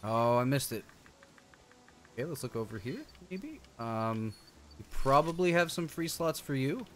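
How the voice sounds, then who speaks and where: A man's voice: medium pitch, sing-song, measured pace, fairly bright tone, slight background noise. A man doing casual game stream commentary, chatty and slangy, thinking aloud as he plays. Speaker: streamer, show host. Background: music.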